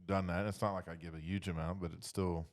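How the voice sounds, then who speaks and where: A man leading a casual faith discussion, reflective and halting, often dropping in "you know". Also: sits low, level tone.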